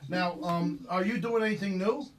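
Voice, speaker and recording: Man, show host, casual, slightly higher voice, reads viewer messages. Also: moderate pace, music in the background. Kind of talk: live-stream interview hosting.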